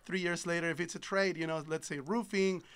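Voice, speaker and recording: A man's voice: on the high side, steady tone, brisk, fairly bright tone, clean tone. A man in his thirties from Ecuador, a native Spanish speaker, chatting agreeably as a podcast guest. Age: 30s. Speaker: podcast guest, solar business owner. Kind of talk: casual interview chat. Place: small padded podcast studio. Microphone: boom-arm studio microphone.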